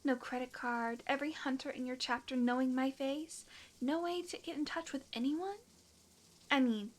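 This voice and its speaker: Woman acting as a high-voiced, sardonic vampire woman. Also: lightly acted. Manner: dry, teasing sarcasm. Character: sardonic, predatory female vampire, centuries old, grudgingly fond. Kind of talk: scripted audio roleplay, addressed to the listener.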